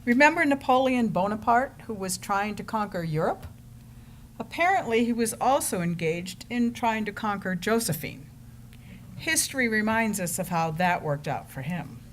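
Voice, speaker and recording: A woman's voice: mid-range voice, lively intonation, normal speed. A woman presenting staged readings with wry scripted narration to an audience, with some background noise.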